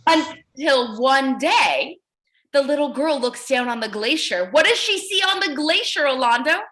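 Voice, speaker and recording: A woman's voice: high voice, lively intonation, unhurried, fairly bright tone. A woman teaching young children in an interactive read-aloud, encouraging them with praise and questions and repeating their answers back.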